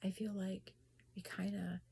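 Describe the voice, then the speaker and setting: A woman talking casually about spirits and grief in a soft whisper, hesitant and full of restarts. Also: medium pitch, level tone, a little slow, vocal fry, slightly rough. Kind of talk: casual personal talk.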